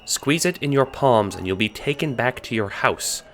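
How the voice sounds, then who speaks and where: Man as elderly grandma, higher than his own voice, pressing, urgent, audiobook drama. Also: lively intonation, normal speed, a bit bright, a little hiss or hum. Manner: urgent, insistent. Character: urgent, panicked old grandmother. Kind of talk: audiobook character voice.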